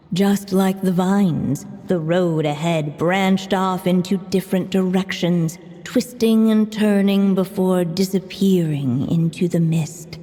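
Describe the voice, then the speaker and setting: A woman narrating a fairy-tale story in a gentle, hushed storybook manner. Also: on the low side. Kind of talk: storybook narration in a game cutscene. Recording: long reverb with soft music.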